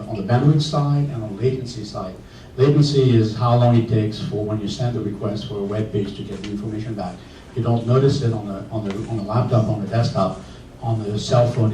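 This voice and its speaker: A man with a low, mellow voice explains a technical point on a conference panel, restarting phrases as he goes. He is heard from a distance in a roomy space with faint background hiss. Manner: explanatory, repeats words. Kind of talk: panel discussion. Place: conference room. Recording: echoey room, voice from far off.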